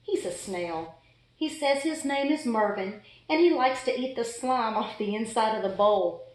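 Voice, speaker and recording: A woman's voice: a little high, animated, measured pace. A woman voicing an exasperated, fussy pet goldfish in a dramatic children's storybook read-aloud. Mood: grumpy, deadpan. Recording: echoey room.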